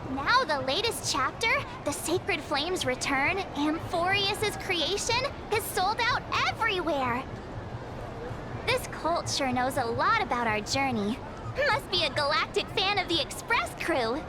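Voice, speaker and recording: A woman playing a cheerful, excitable young girl traveler in a video game, with a bubbly, chatty and expressive delivery. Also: very high-pitched, measured pace, over music, exaggerated voice.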